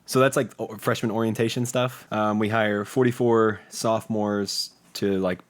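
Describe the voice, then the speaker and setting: A man's voice: on the low side, fairly even intonation, normal speed. A man in his early twenties chatting casually and candidly as an interview guest, with a joking way of talking. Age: early 20s. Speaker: interview guest, student comedian.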